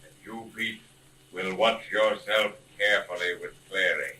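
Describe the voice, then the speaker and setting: An unseen, ominous avenger in a 1930s radio serial. The male voice is very sharp and piercing, set away from the mic, and makes solemn, formal pronouncements. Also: sits low, steady tone, unhurried. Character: mysterious, commanding disembodied male voice, ominous avenger. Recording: distant voice, old 1930s radio recording.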